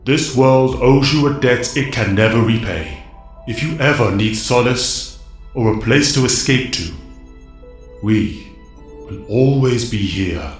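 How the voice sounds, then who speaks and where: A man playing an ancient cosmic wizard mentor in an audio drama, speaking in a grave, formal and measured way. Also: mid-range voice, expressive, lightly acted. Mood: solemn, grateful. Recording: echoing chamber with faint music.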